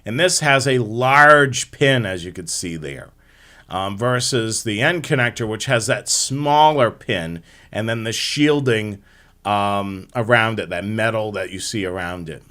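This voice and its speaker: A man's voice: fairly low pitch, unhurried, bright, forceful tone. A man hosting a live hobby show, explaining things step by step in an informal slideshow presentation.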